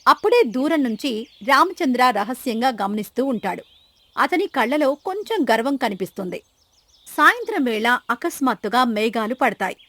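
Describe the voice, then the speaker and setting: A woman's voice: fairly high pitch, very animated, moderate pace, fairly bright tone, no echo. A woman reading scripted story narration as the storyteller.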